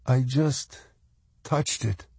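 A man voicing a shy, gentle, uncertain girl in an audiobook story, speaking softly and hesitantly. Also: medium pitch, drawn-out words, gravelly, husky, dry recording, pitched above the performer's own voice, exaggerated voice. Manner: soft, hesitant.

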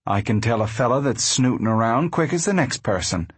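A man's voice: mid-range voice, expressive, a little fast, slightly rough, no echo. A man voicing a plain-spoken, protective farm mother in a storybook. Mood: angry. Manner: folksy, firm.

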